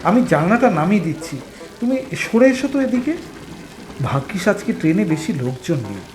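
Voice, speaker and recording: Animated, anxious young office colleague. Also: male voice, sits high, fairly quick. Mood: worried. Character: worried, kindly younger male office colleague. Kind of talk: Bengali cartoon voice acting. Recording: loud music bed over dialogue. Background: music.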